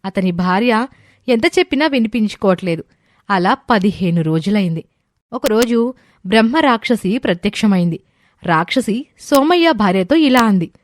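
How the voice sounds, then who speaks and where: A woman's voice: medium pitch, expressive, moderate pace, dry recording. A woman reading scripted narration for a moral story as its narrator.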